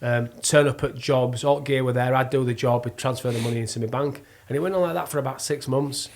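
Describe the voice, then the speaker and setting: A middle-aged man with a Yorkshire accent, chatting casually and spinning animated, self-mocking stories. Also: mid-range voice, a little fast. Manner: jokey, self-mocking storyteller. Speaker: podcast guest, actor and plasterer. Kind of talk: casual chat, animated anecdote telling.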